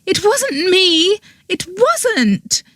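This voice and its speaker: A woman's voice: very high voice, big pitch swings, drawn-out words, a bit bright, no echo, studio quality, voice pushed up, heavily acted. A woman voicing a furious, tearful young woman accused of murder, shrieking and bitter. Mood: panicked. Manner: shrieking, bitter, near tears.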